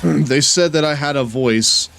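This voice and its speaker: A man chatting casually with his chat while playing a wrestling game on stream, laid-back and drawling, and given to sudden excited shouts. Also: on the high side, measured pace, creaky, faint music behind.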